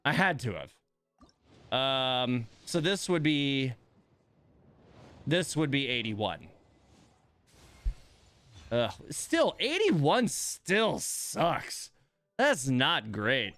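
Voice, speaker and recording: A man livestreaming a game in a casual, grumbling way. His pitch jumps around a lot, and he takes his time between remarks. He sounds exasperated, with mild swearing and sighs. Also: big pitch swings, drawn-out words, pauses often, a bit bright, faint music behind. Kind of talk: casual livestream chat and reactions while playing.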